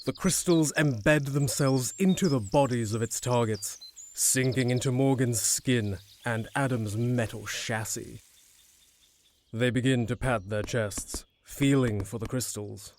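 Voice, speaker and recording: A man's voice: medium pitch, measured pace, darkish tone, slight background noise. A man narrating a scripted fantasy audio drama as its storyteller.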